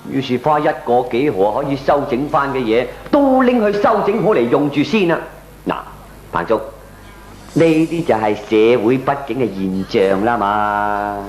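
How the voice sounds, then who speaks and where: A man's voice: mid-range voice, sing-song, normal speed, natural voice. An actor playing a frugal, good-humoured ordinary man, explaining things expressively in comic radio drama with a Hong Kong accent. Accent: Hong Kong Cantonese. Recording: echoey old radio drama recording.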